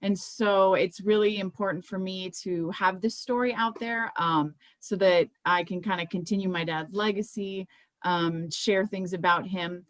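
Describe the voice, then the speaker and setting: A woman's voice: mid-range voice, steady tone, unhurried, dry recording. A middle-aged American woman speaking in a conversational, informal way, as an author presenting her books. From Miami, United States.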